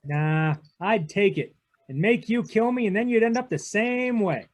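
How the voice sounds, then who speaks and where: An actor playing a tough, defiant, wisecracking private detective in a 1940s radio drama, with blunt, slangy delivery. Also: male voice, high-pitched, lively intonation, moderate pace, a little hiss or hum. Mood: cool confidence. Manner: clipped, blunt, slangy hardboiled talk. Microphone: video call.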